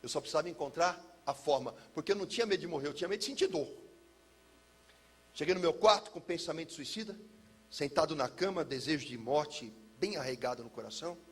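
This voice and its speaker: A Brazilian man speaking as a pastor, telling a personal testimony in an emphatic, theatrical way with deliberate repetition. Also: a little high, expressive, breathy edge. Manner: emphatic, theatrical, uses pauses and repetition for effect. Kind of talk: personal testimony, dramatic storytelling to listeners.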